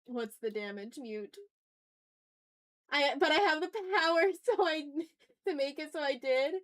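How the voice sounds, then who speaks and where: A woman's voice: high voice, lively intonation, a little slow, fairly bright tone. A woman hosting a casual drawing livestream, playfully chatting with viewers and thinking aloud as she works. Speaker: art livestream host.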